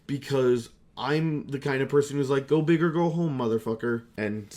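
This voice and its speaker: A man's voice: medium pitch, moderate pace, darkish tone. A young adult man rambling casually to viewers, profane, sarcastic and self-deprecating, stretching words for emphasis. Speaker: YouTuber and fan-film creator.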